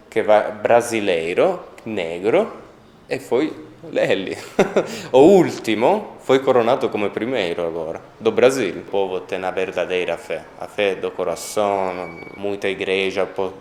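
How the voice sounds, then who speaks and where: Italian guy talking slow n halting in a second language, lowish voice, echoey room w faint hum. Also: a little mellow. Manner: halting, searching for words, many pauses. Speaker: interviewee on a sainthood cause. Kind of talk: documentary interview.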